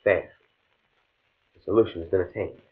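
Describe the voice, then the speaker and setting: A man's voice: low-pitched, fairly even intonation, normal speed, background noise, natural voice. A man playing a determined, fatalistic professor in a 1950s radio drama, speaking in a grave, precise way. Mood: measured, intent. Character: desperate, determined male professor, coolly fatalistic scientist. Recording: muffled, band-limited old radio recording.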